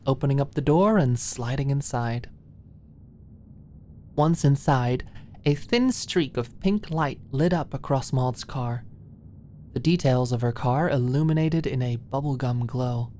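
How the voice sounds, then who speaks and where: A woman's voice: extremely low pitch, dark tone, slight background noise. A woman reading scripted romance fiction as an audiobook narrator in an ASMR style.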